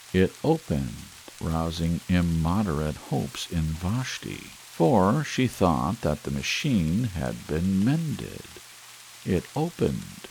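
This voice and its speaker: A man narrating a story as a sleep audiobook in a measured, dramatic literary style with deliberate pauses. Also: deep voice, low-quality recording. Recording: clear steady rain hiss behind voice. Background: rain sounds.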